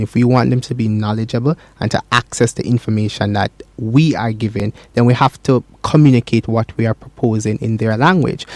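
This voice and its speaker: A man's voice: medium pitch, darkish tone, no room sound. A man, a campaign spokesperson, answering interview questions in an earnest, explanatory way with frequent fillers. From Jamaica.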